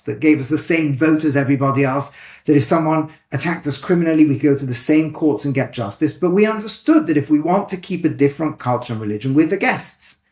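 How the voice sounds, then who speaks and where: A man with a light Manchester accent talks through ideas in an animated, conversational way. His voice sits a little high. The room around him is noticeably echoey. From England. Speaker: rabbi and educator. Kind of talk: thoughtful conversational explanation.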